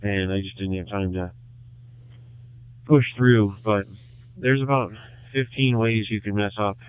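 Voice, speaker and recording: A man calling in on a ham radio net, chatting casually in a halting way with many fillers and restarts. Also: fairly low pitch, clean tone, no echo. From Michigan, USA. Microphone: handheld radio transmitter. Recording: tinny two-way radio audio.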